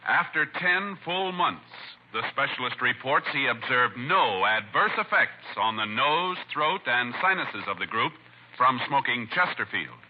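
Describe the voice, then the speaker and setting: A man's voice: mid-range voice, animated, measured pace. A man announcing in formal, emphatic 1950s scripted radio style, on a muffled vintage recording.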